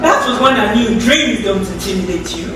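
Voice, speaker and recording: A woman's voice: mid-range voice, animated, moderate pace, noisy background, close to an everyday voice. A woman with a Nigerian accent acting a devoted, teasing wife in a musical stage play, with theatrical, lilting delivery. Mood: encouraging. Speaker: stage actress and singer. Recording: echoey theatre from far off, music behind.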